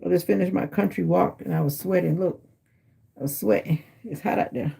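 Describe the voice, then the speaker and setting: A sleepy middle-aged woman, raspy from a sinus infection, chatting casually with viewers on a phone livestream from home and teasing them by name. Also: fairly low pitch, a little fast, dark tone. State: sleepy and exhausted. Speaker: livestream host. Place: at home indoors.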